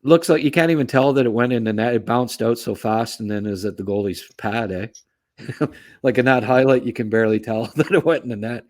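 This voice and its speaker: A man's voice: mid-range voice, fairly quick. A man co-hosting a sports podcast, giving casual, chatty hockey analysis and often tagging remarks with "eh". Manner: chatty, tags remarks with "eh", chuckles at own asides.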